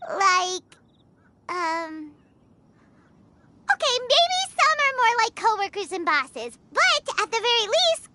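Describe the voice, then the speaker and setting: A woman voicing a small, cheeky, excitable childlike fairy companion in a video game, with a squeaky voice. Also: heavy background noise, heavily acted. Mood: encouraging. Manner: exclamatory, cheeky, speaks of self in third person. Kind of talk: video game character acting. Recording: music playing behind.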